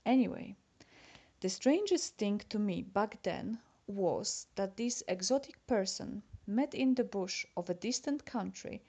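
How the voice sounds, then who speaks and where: Polish woman, native Polish speaker, confiding letter-reader, relaxed dark tone, mid pitch. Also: unhurried, slightly rough. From Poland. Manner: chatty and confiding, addressing a friend. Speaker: letter writer. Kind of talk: reading a personal letter aloud.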